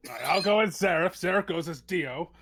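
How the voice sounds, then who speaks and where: A man's voice: high voice, lively intonation, a little fast, gravelly, a bit bright, breathy edge. A man chatting casually and jokingly as a player in a tabletop roleplaying game, with a wry manner.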